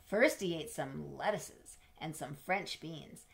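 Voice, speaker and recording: A middle-aged woman reading a picture book aloud to children, expressively, pausing to explain and ask questions in a teacherly way. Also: deep voice, vocal fry. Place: small indoor home room with bookshelves.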